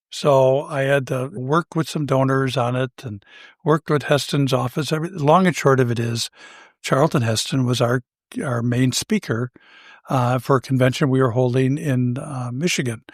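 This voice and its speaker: An older man with a somewhat high-pitched voice, co-hosting a talk show and telling a personal anecdote in a relaxed, self-deprecating way, chuckling now and then. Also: normal speed, no echo, clean studio sound. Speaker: podcast co-host. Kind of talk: conversational storytelling.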